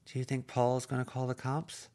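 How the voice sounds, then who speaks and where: A man's voice: medium pitch, completely flat delivery, brisk, a little mellow, voice pushed up, close to an everyday voice. A man reading an amateur audiobook, voicing an anxious, earnest teenage girl who pleads hesitantly. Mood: worried.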